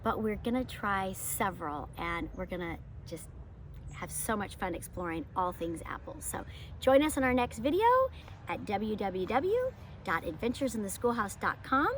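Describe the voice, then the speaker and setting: A woman speaks as a homeschool teacher presenting to young children. Her delivery is emphatic and storybook-like, with pitch that moves around in a lively way. Faint ambient noise sits behind her voice. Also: normal speed. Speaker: homeschool teacher hosting a read-aloud. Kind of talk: children's picture book read-aloud and lesson presenting.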